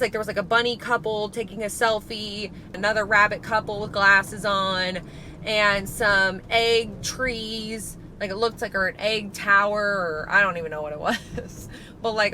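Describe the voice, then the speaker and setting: A woman chatting casually through a shopping haul vlog, rambling and correcting herself, a little hoarse. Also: medium pitch, bright, forceful tone, slight background noise. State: slightly hoarse from allergies. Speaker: vlogger.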